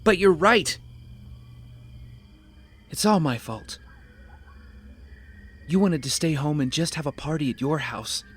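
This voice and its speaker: An actor playing a starving, guilt-wracked young castaway in an audio drama, emotional and self-pitying. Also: male voice, on the high side, animated, slow, pauses often, fairly bright tone, slightly rough, full character voice. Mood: guilty.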